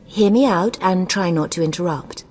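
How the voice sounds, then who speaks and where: A woman's voice: fairly low pitch, moderate pace, a little mellow, lightly acted. A woman playing an imperious, ruthless sorceress in a video game, haughty, formal and commanding. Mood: haughty, stern. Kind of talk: scripted video game dialogue. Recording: long cavernous reverb.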